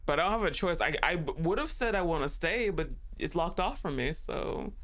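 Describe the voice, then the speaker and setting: A man's voice: fairly high pitch, animated, fairly quick, a bit bright. A man streaming a horror game live, chatting casually, cursing freely and talking back to the characters on screen. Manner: casual, joking, swears freely. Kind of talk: live gameplay commentary.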